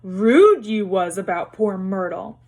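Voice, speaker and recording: A woman's voice: mid-range voice, expressive, slow, a little mellow. A woman voicing a sly, mischievous male poltergeist in a storybook, with bellowed and hissed taunts.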